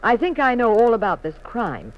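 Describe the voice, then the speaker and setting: An actress playing a curious, jealous detective's wife in a 1950s radio mystery, with an arch, dry delivery. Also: female voice, on the high side, wildly swooping pitch, normal speed, highs cut off. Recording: noisy vintage radio recording.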